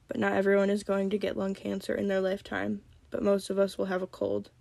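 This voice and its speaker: Calm woman with a cold, gravelly voice. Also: medium pitch, fairly quick, dry recording. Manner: calm, gentle, reflective. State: has a cold. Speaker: podcast host. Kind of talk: solo podcast talk on mental health.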